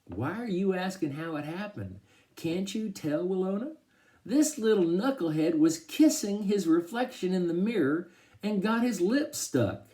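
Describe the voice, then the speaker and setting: Male narrator as amused father, thirties, wry, teasing, animated intonation, audiobook character. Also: mid-range voice, moderate pace, natural voice. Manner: wry, mocking.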